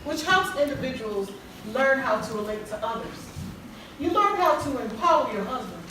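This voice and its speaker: A woman acting as an encouraging self-help counselor in a stage play, speaking in a measured, preachy way to her group. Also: high voice, big pitch swings, bright, forceful tone, full character voice. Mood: earnest, instructive. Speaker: counselor and author leading a support group. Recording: echoey hall, far mic, clear background noise.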